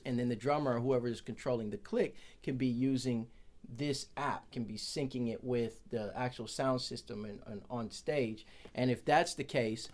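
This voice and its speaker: A man's voice: mid-range voice, darkish tone. A man, a percussionist, giving a casual, chatty product review from his office, correcting himself and joking as he goes.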